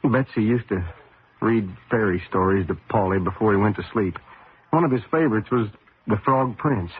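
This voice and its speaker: An actor playing a troubled, devoted husband and former race driver in a radio mystery drama, speaking in a halting, emotional way. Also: male voice, lowish voice, slightly rough. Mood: brooding. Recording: muffled narrow-band old radio recording.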